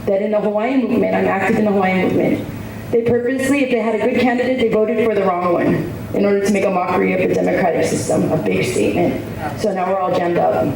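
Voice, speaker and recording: A woman's voice: mid-range voice, fairly even intonation, quick pace, vocal fry. A woman giving a rambling, earnest public comment at a hearing in a hotel ballroom, with many asides. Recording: echoey hall picked up from far off, with background noise.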